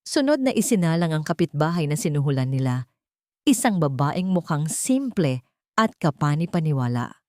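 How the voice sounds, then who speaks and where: Female Filipino storyteller for scripted drama narration; lowish pitch, very animated intonation, close dry sound. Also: normal speed, no echo.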